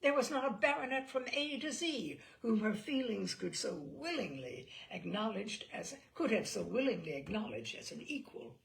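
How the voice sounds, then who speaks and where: A woman narrating a literary novel in an expressive, measured storytelling manner with deliberate pauses. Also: fairly high pitch, gravelly, rough recording. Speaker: audiobook narrator.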